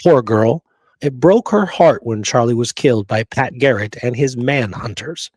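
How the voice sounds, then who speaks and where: Male host, mid-pitched, emphatic presenter of historical research, dry studio-style sound. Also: animated, normal speed, a little mellow, no room sound. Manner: emphatic, checks in with rhetorical right and okay. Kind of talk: presenting research to listeners, partly reading prepared notes.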